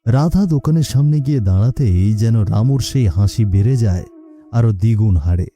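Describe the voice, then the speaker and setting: Very dark-toned male story narrator. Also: fairly low pitch, animated, moderate pace, faint music behind.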